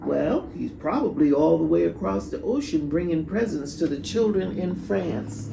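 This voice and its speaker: A jolly, worldly old grandfather from a picture book, acted out by a woman. He talks heartily and emphatically with lively swings of pitch. Background noise sits under the recording. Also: normal speed, creaky. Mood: jolly, reminiscing.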